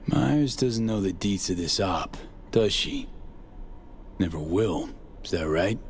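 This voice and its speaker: A man's voice: sits low, animated, takes it slowly, a little hiss or hum, exaggerated voice. A man playing a guarded, street-smart mercenary in a video game, terse and blunt, with casual slangy speech. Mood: wary, probing. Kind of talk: cinematic game acting, casual slangy dialogue.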